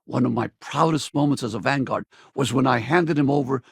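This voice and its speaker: A man playing a weathered, loyal spacefaring pilot in a video game, gravelly and husky, with a casual, wry way of talking. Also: mid-range voice, brisk, no room sound, clean studio sound. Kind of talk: video game companion dialogue.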